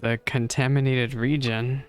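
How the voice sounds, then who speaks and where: A man's voice: mid-range voice, little pitch movement, unhurried, clean tone, faint music behind. A man streaming casual reaction commentary on a game into a dynamic microphone, chatty and often interrupting himself with asides.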